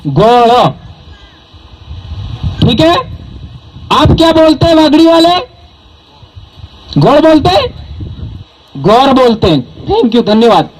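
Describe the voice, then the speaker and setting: High-voiced man giving a rousing speech. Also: slight background noise, poor audio. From southern Rajasthan, India. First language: Bhili. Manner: emphatic, quizzes the crowd with rhetorical questions. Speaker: community speaker at a public gathering. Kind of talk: public speech to an audience. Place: stage at a public event.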